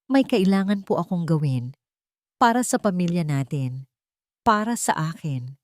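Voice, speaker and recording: A calm, determined young Filipina heroine from a romantic audio story, played by a woman narrator. She speaks firmly and with control in a slightly low voice. The recording is dry and free of room sound. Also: a little slow, no echo. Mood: calm, resolute. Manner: calm but firm. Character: calm, resolute young woman, a dutiful daughter.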